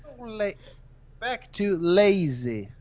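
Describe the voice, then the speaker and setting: A man speaking English as his second language, his first being Swedish, chats casually as a podcast co-host. His voice sits fairly high for a man, and he often pauses to hunt for the right words. The recording is dull and lo-fi, missing its top end. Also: very animated, a little mellow. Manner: searches for words, hesitant phrasing. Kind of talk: casual chat.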